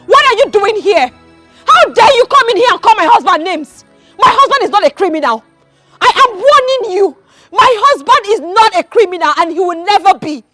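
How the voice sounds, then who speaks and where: A high-voiced furious wife. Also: female voice, expressive, normal speed, dry recording, faint music behind, full character voice. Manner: shouting, accusing, pleading. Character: fierce loyal young wife, defiant and distraught. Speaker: wife defending her husband.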